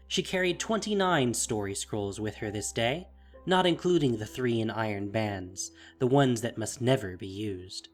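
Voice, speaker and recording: A man's voice: on the low side, animated, unhurried, faint music behind. A man narrating a fantasy audio drama in a dramatic, scripted style.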